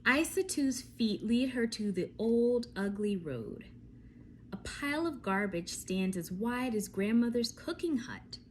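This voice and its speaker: A woman reading a picture book aloud to young children, telling it expressively with deliberate phrasing and dramatic pauses. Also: fairly high pitch, darkish tone, slight background noise.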